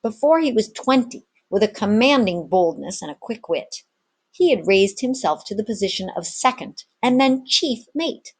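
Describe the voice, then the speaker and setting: A woman's voice: mid-range voice, sing-song, normal speed, slightly rough. A woman narrating an adventure story expressively, like a teacher reading aloud to students.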